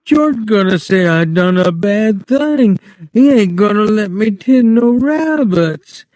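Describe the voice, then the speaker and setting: Male narrator voicing a slow childlike giant, high, frightened. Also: lively intonation, no room sound. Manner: slow, pleading, rustic grammar. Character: big simple-minded man, childlike and frightened.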